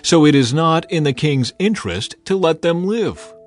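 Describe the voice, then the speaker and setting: A man's voice: fairly high pitch, lively intonation, moderate pace, faint music behind, pitched above the performer's own voice, lightly acted. A man voicing a vengeful, scheming royal official who speaks formally and persuasively in a dramatized scripture reading. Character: scheming, vengeful male royal official pleading his case.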